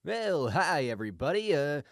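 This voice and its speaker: A man's voice: fairly high pitch, sing-song, normal speed, no room sound. A man playing a folksy, flustered small-station radio announcer, stammering and rambling in improvised comedy. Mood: flustered, affable.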